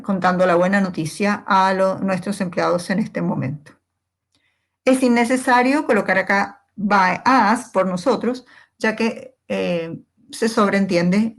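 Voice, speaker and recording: Female language teacher, medium pitch, unhurried and deliberate pace, explains grammar step by step and answers her own questions. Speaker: English teacher.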